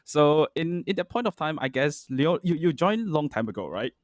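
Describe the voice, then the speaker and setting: A man's voice: fairly high pitch, sing-song, moderate pace, dry recording, clean studio sound. A man hosting a podcast, chatting in a friendly, hesitant way with frequent restarts and fillers. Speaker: podcast host. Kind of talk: casual podcast conversation.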